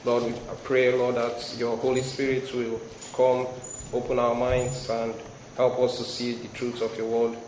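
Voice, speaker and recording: Man, 30s, Nigerian accent, halting sermon delivery, flat intonation, echoey hall, audible background noise. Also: mid-range voice. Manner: hesitant, frequent ums and restarts. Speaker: guest speaker. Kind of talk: sermon with scripture reading and prayer. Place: large hall before a youth crowd.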